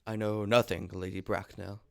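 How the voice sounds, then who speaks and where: Polite young gentleman suitor read by a man, deep clear voice, nervous and deferential, stage comedy read-through. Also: little pitch movement, normal speed. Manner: deferential, hesitant, formal. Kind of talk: amateur table reading of a stage comedy.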